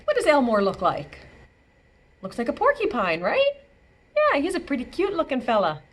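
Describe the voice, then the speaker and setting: A woman's voice: high-pitched, huge pitch swings, moderate pace. A woman reading a picture book aloud to young children as a storytime librarian, expressively, with simple asides explaining words and pictures.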